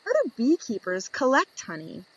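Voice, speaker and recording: A woman reading a children's picture book aloud, slow and lively, lifting into high voices for the questions. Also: slightly rough, low-quality recording. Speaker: storybook reader.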